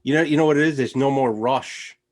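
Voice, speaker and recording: A man from the United States chats casually as a guest in an easygoing, rambling way, often pausing on fillers. His voice sits at a middle pitch. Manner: relaxed, rambling, many fillers. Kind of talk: casual conversation.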